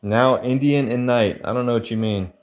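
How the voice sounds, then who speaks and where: A man's voice: medium pitch, moderate pace, darkish tone, faint music behind. A man livestreaming and answering chat in a loose, rambling way, full of fillers. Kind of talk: casual chat.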